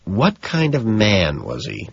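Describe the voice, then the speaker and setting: Casting: male reader as courteous investigator, tentative but probing, low husky voice, audiobook dialogue. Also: huge pitch swings, slow, dry recording, lo-fi sound, exaggerated voice. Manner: polite, questioning, tentative.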